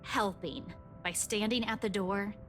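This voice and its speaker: An actress playing a prickly but caring young office worker in a visual novel, wry and insistent. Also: female voice, mid-range voice, steady tone, measured pace, fairly bright tone, lightly acted. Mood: sarcastic. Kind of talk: visual novel voice acting, dialogue. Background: music.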